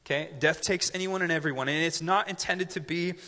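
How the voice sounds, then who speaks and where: A man's voice: a little high, steady tone, brisk, bright, forceful tone. A man in his twenties preaching a sermon as pastor, conversational with joking asides and frequent check-ins with the audience. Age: 20s. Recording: reverberant hall.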